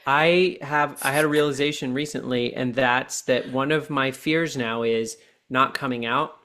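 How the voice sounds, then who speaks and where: A man in his forties, a podcast guest and coach, talking earnestly and slowing down to stress his points. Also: mid-range voice, fairly even intonation, bright, forceful tone. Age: 40s. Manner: deliberate, earnest coaching tone, slows down for key points. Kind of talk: reflective podcast conversation.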